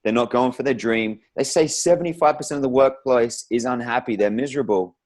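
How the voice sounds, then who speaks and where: A man's voice: mid-range voice, brisk, a little mellow. A man hosting a conversational interview in an informal, rambling, encouraging way. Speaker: interview host.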